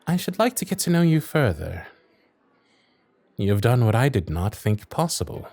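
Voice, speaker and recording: An actor playing a wry, honourable young Victorian lord, charming and a little vain, speaking formal, courtly in a romantic audio roleplay. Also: male voice, mid-range voice, big pitch swings, normal speed, a little mellow, no echo. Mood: teasing, charmed. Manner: formal, courtly, old-fashioned diction.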